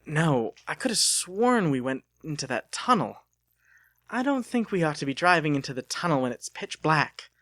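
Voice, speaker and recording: A man's voice: fairly high pitch, very animated, moderate pace, a bit bright, dry recording, clean studio sound, pitched above the performer's own voice. A man voicing a frustrated, defensive young man in a storybook, insistent in manner. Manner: indignant, insistent.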